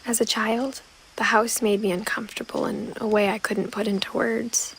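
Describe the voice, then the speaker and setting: A woman playing a reflective seventeen-year-old girl, quietly narrating a story game in measured first person. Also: a little high, level tone, rough recording. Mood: pensive, subdued. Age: teenager. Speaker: narrator protagonist. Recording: clear outdoor nature sounds with insects. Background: ambient insects and nature noise.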